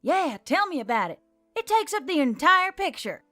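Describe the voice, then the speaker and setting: A woman's voice: sits high, very animated, moderate pace, sharp tone, pitched above the performer's own voice, exaggerated voice. A woman voicing a brash young female adventurer in an audiobook, with blunt, slangy delivery. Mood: exasperated. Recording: music behind the voice.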